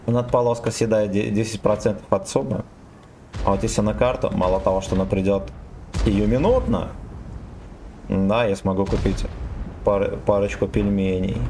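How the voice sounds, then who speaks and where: A man's voice: fairly low pitch, warm tone, a little hiss or hum. A young man streaming a tank game, joking with chat and swearing freely. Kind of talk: casual game stream chatter.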